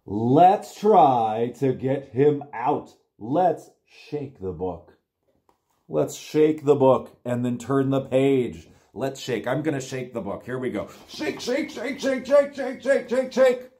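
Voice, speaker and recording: A man's voice: mid-range voice, slow pace. A man in his forties reading aloud to young children as a storytime host, with an exaggerated, playful, sing-song delivery. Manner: animated, exaggerated, sing-song repetition with gasps. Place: small plain room indoors.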